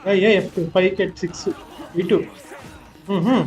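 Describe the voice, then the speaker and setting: Telugu-accented man, game streamer, casual, very rapid, high-pitched, muffled mic, game music. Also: fairly even intonation, darkish tone. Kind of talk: casual live gameplay commentary.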